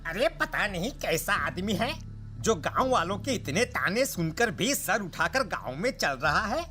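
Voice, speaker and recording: A woman's voice: mid-range voice, sing-song, measured pace, bright, forceful tone, faint music behind. A woman voicing a scornful, gossiping village man with a sneering, judgmental manner in a story.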